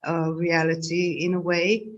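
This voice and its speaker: Slow, hesitant woman moderating a video call. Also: on the low side, little pitch movement. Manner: slow, hesitant, many fillers. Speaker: panel moderator. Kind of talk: moderating an online panel. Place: home, dark room. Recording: dull video call audio.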